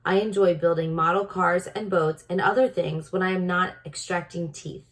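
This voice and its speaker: A kindly dentist and proud tinkerer from a children's storybook, acted out by a woman. He explains things instructively in a lowish voice and is quick to get excited. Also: close to an everyday voice.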